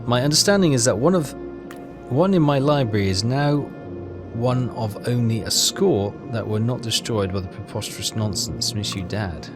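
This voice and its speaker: A man giving casual game walkthrough commentary, reading text aloud and haltingly thinking out loud. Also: mid-range voice. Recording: clear music behind the voice. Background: game music.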